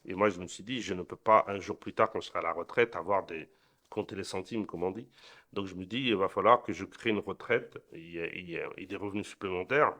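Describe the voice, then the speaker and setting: A man's voice: low voice, fairly quick, bright, forceful tone. A middle-aged man from Portugal, answering interview questions earnestly, often repeating and restarting words. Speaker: interview guest, entrepreneur.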